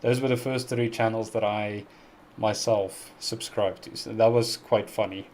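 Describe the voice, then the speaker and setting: A man's voice: on the low side, fairly even intonation, a little slow, a little mellow, slight background noise. Chatty South African man, careful diction. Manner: chatty and earnest, laughs often, pronounces words very carefully. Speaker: music reaction YouTuber hosting a live stream. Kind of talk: casual live-stream Q&A, answering chat.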